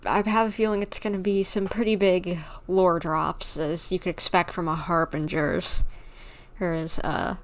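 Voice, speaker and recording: A woman's voice: on the low side, little pitch movement, measured pace, slight background noise. A young adult woman giving casual, playful, rambling commentary as she livestreams a video game. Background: faint game music.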